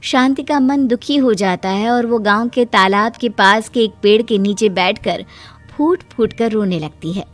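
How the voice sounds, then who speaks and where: A woman's voice: fairly high pitch, measured pace. A woman reading scripted storybook narration for a cartoon moral tale. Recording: music behind the voice.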